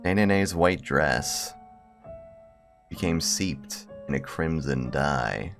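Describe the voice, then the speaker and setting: A man reading the narration of a numb, morbid young man in a horror visual novel, solemn and measured. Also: a bass voice, animated, gravelly, a bit bright, soft background music, full character voice. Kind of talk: scripted narration read aloud.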